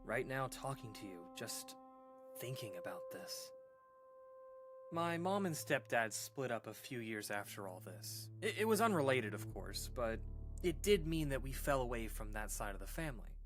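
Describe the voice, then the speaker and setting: An actor playing a shaken man who recounts an eerie memory in a halting, casual, earnest way, like a caller in a radio drama. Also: medium pitch, very animated, fairly bright tone, faint music behind. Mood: wistful, ominous. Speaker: caller telling a paranormal story on a radio show. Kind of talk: conversational scary storytelling, audio drama monologue.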